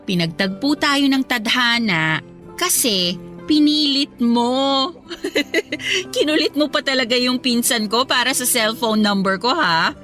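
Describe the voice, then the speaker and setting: A woman's voice: on the high side, lively intonation, a little slow, pushed and bright, faint music behind. An actress playing a newly separated woman being courted in a Tagalog radio romance drama, talking in a playful, conversational way. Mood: coy teasing.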